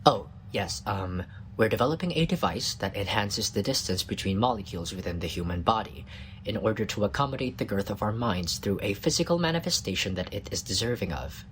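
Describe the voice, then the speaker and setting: A man's voice: on the low side, expressive, brisk, fairly bright tone, slight background noise. A man playing a tiny, cocky, bumbling scientist in a comedic audio roleplay, smug and flirtatious with a flustered stammer. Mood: defensive, smug.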